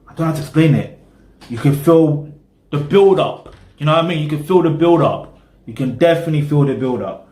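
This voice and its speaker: A man's voice: a little high, animated, brisk, dark tone, reverberant. A British man, casually chatting through a music reaction video and rambling with lots of "you know what I mean". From Britain.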